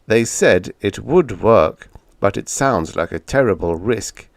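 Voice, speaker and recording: A calm, blunt visitor from the future, read by a man in close to his own voice. The delivery is flat, firm and matter-of-fact. Dry, close sound. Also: fairly low pitch, slow pace, vocal fry, no room sound, heavily acted.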